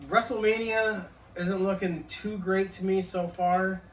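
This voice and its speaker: A man's voice: high-pitched, fairly even intonation, measured pace, a bit bright. A man in his early forties co-hosting a casual chat show, with laid-back, profane banter. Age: 40s. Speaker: podcast co-host. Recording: echoey room, mic at a distance.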